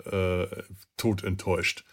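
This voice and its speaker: A man's voice: sits low, level tone, slow pace. A middle-aged man, a podcast co-host telling anecdotes in casual chat.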